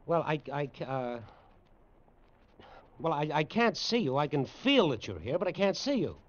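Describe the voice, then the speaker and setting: An actor playing a bewildered human pilot in an old radio drama, halting and incredulous as he questions. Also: fairly high pitch, very animated. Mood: confused, hesitant. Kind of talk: 1950s radio science fiction drama acting. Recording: dull old radio recording.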